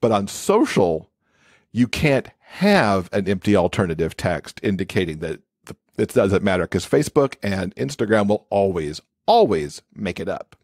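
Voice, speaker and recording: Male interview guest, lowish pitch, conversational explainer with "you know" asides, dry sound. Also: sing-song, normal speed, darkish tone, husky, no room sound. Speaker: podcast guest, accessibility expert and author.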